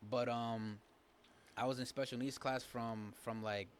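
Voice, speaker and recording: A young man from Massachusetts whose first language is Spanish, a podcast guest talking casually and haltingly, with lots of fillers and restarts. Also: mid-range voice, steady tone, slight background noise. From New Bedford, Massachusetts. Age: young adult.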